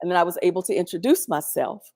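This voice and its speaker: A woman telling a personal story in a chatty, animated way, speaking over a video call in a strong, loud voice. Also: fairly low pitch, fast talker. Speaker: featured guest. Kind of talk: storytelling testimony.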